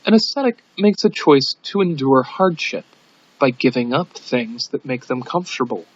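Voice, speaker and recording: A man reading a scripted audiobook in an amateur narration style, on a dull lo-fi recording. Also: mid-range voice. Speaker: audiobook reader.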